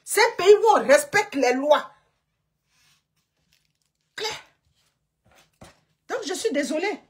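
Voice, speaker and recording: A woman's voice: high voice, expressive, takes it slowly. A woman from Côte d'Ivoire delivering an emphatic, repetitive political monologue, lecturing her audience and hammering her points home. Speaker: online commentator. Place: home in Canada.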